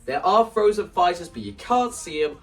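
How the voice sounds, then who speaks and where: A man explaining his staging ideas to camera in a casual, animated way, like a video creator walking viewers through a plan. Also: high-pitched, normal speed, creaky. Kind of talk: casual spoken walkthrough to camera. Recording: voice distant from the mic, music underneath.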